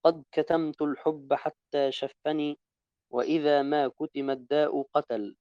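A man reading a classical Arabic text aloud to a teacher in a steady, even recitation, correcting his own slips as he goes. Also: fairly high pitch, unhurried, clean tone, no room sound, lo-fi sound.

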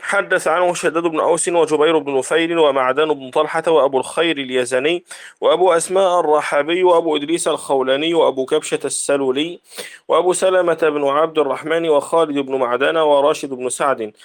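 A man reading a classical Arabic text aloud to his teacher, in steady recitation of reports and narrator chains. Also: fairly high pitch, quick pace.

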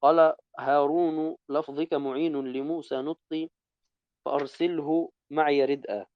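A man reading a classical Arabic text aloud to a teacher in a steady, even recitation, correcting his own slips as he goes. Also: on the high side, normal speed, no room sound, highs cut off.

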